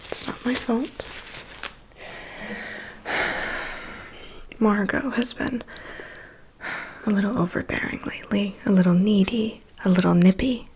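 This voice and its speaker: A woman playing a chatty, weary vampire's assistant in an ASMR roleplay, in a soft breathy whisper with a confiding manner. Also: mid-range voice, slight background noise, lightly acted, rough recording. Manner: confiding, gossipy, unhurried. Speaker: ASMR performer. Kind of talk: ASMR personal attention roleplay.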